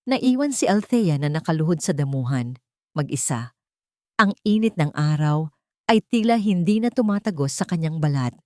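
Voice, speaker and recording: A woman reading a scripted audio drama in an emotive, deliberate storytelling manner. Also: lowish voice, darkish tone, no room sound, clean studio sound.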